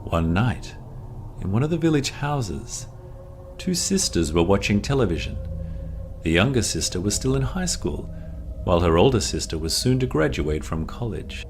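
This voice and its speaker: A man tells a scripted horror story in a very deep voice. His delivery is dramatic and suspenseful, with wide pitch movement and drawn-out pauses. Soft music plays beneath him. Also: very animated. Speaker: narrator. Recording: music under the voice.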